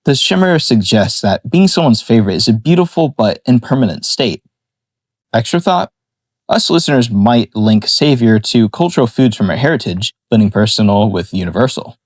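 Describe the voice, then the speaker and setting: A man reading a scripted analysis of a song in a measured, explanatory way. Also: medium pitch, lively intonation, no room sound, clean studio sound. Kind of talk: scripted narration.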